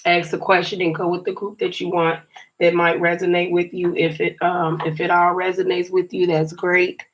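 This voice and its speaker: A woman reading tarot cards for her viewers in a chatty, encouraging way, her voice a little scratchy and raspy today. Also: fairly low pitch, little pitch movement, normal speed. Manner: chatty and encouraging, often says "you guys". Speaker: tarot card reader.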